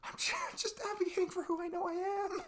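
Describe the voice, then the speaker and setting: A man playing a young best friend who confides in a halting, rambling, exasperated way in an ASMR audio roleplay. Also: very high voice, flat delivery, fairly bright tone. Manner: rambling, halting, exasperated sighs and nervous laughs. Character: young male best friend venting about arguing with parents.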